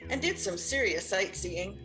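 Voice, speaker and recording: An older woman in an amateur audio play playing a calm, reassuring wife who also tells the story. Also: mid-range voice, normal speed, loud music behind the voice, lightly acted, low-quality recording. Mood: narrating. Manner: soothing, practical.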